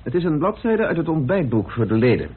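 An actor playing a methodical, insistent amateur detective in a radio play, speaking deliberately with theatrical pauses as he accuses. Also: a little high, big pitch swings, slight background noise. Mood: methodical, pressing. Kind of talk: radio play acting in Dutch. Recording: muffled old radio drama recording.

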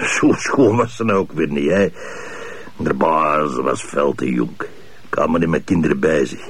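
Heel diepe, schorre Vlaamse cafébink. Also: male voice, extremely low pitch, moderate pace, slightly rough. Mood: cocky. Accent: Flemish. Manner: slangy, cheeky, brusque. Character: cocky rough Flemish barfly suspect, gruff and evasive. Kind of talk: colloquial improvised radio drama.

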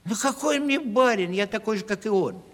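An actor playing a clownish, boastful and cowardly travelling comedian in a radio play, theatrical and grumbling. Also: male voice, high-pitched, lively intonation, moderate pace, fairly bright tone. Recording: reverberant 1970s radio play studio recording.

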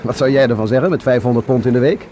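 A man's voice: mid-range voice, rapid-fire, dark tone, natural voice. A man plays a blunt, grumbling sergeant in a radio play. His mid-range voice is colloquial and direct, over faint street noise. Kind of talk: radio drama acting in Dutch.

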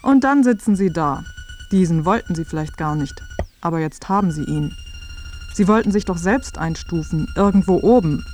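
A woman's voice: lowish voice, expressive, normal speed, a little mellow. Wry female radio feature narrator over music.

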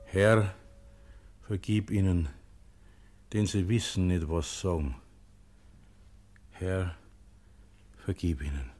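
A man's voice: low-pitched, steady tone, slow, long pauses. A man playing a mild, patient monk with a Bavarian accent in a historical radio play. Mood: prayerful. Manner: mild, pleading. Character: gentle, patient Bavarian monk keeping the monastery gate.

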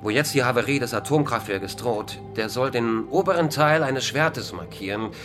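An actor playing a resigned, pessimistic philosopher in a radio drama interview, lecturing in a ponderous intellectual manner. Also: male voice, mid-range voice, moderate pace, music in the background, natural voice. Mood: musing. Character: gloomy, resigned male philosopher and culture critic.

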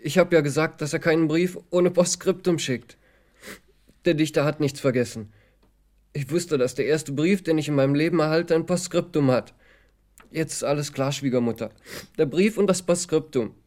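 A man's voice: fairly high pitch, moderate pace, darkish tone, lightly acted. An actor playing a naive, earnest young village man in a radio drama, with a guileless, wondering way of talking. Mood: excited, triumphant.

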